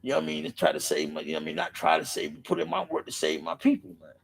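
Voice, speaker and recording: A middle-aged man with a raspy voice, speaking in an impassioned, profane monologue on a podcast, filling his pauses with "man" and circling back through restarts. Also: mid-range voice, wildly swooping pitch. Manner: rambling with restarts. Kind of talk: impassioned street-life monologue.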